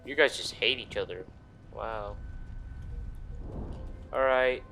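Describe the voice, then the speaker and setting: A man gives casual gameplay commentary in a mid-pitched voice. He is sarcastic and swears freely, playing up mock indignation. Faint game music sits behind him. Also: takes it slowly, pushed and bright. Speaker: gaming video player and commentator. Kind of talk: casual let's play commentary.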